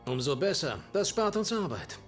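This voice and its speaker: An actor playing a cocky, defiant American soldier with a wry manner in a war game cutscene. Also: male voice, fairly high pitch, very animated, normal speed, soft background music. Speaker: video game character in cutscenes. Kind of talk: dramatic game cutscene dialogue.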